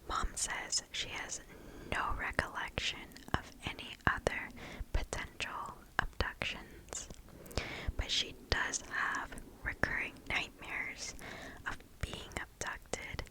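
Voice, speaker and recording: Slow, whispering woman reading ASMR stories. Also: poor audio. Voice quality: soft unvoiced whisper. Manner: slow, hushed, deliberate phrasing with pauses. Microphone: close microphone.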